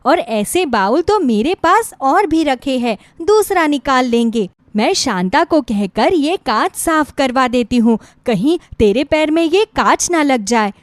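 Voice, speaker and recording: A woman voicing a gentle, caring middle-aged mother-in-law, reassuring and motherly, in a moral story. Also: high-pitched, animated, measured pace, hardly any pauses, dry recording. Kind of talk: Hindi moral story acting.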